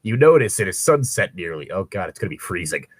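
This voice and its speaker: A man's voice: sits low, big pitch swings, fast, slightly rough, no echo. A man reading a story aloud and breaking off into wry, sweary, rambling casual commentary as co-host. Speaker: co-host of a fan fiction reading show.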